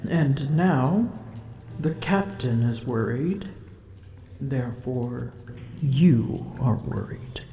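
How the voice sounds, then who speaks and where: A woman's voice: extremely low pitch, lively intonation, extremely slow, dark tone, a little hiss or hum, heavily acted. A woman voicing a haughty, drowsy and grumpy female dragon in an ASMR roleplay, speaking in formal old-fashioned phrasing and often whispering. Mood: haughty, amused. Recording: roomy echo.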